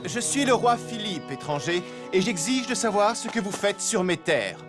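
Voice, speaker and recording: High-voiced pompous king. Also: big pitch swings, moderate pace, over music. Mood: demanding. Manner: pompous, commanding. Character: imperious, theatrical king, haughty but easily pleased. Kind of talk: animated fairy-tale dub acting.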